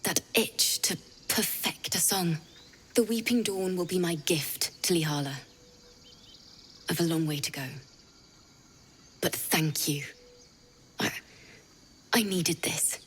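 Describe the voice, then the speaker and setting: A woman playing a grieving young tiefling bard in a video game, gentle and earnest, speaking in a halting, hushed, confiding way. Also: on the low side, slight background noise. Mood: wistful, grateful. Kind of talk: dramatic video game dialogue.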